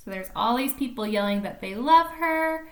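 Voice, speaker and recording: A woman reading a picture book aloud to young children in an animated way, pausing to explain and ask questions like a teacher. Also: on the high side. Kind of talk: expressive storybook read-aloud with chatty explanations to children.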